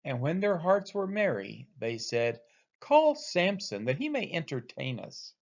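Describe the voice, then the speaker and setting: A man reading scripture aloud as expressive narration, with deliberate, dramatic emphasis on quoted speech. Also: a little high, gravelly, lo-fi sound. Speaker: scripture reader.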